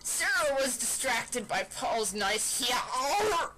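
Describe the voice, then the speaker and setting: A woman doing a playful mock dramatic reading of fan fiction, deliberately stilted, with asides and computer fan noise behind her. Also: high voice, expressive. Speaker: amateur reader of fan fiction.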